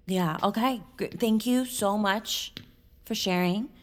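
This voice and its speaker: Gentle, patient woman leading a support group, acted. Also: mid-range voice, slow pace, natural voice. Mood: patient, encouraging. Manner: gentle, encouraging. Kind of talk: audio drama dialogue.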